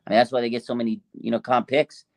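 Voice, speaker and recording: A man's voice: medium pitch, level tone, racing. A man co-hosting a sports fan show, chatting informally and agreeably, repeating himself for emphasis. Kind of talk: casual sports fan banter.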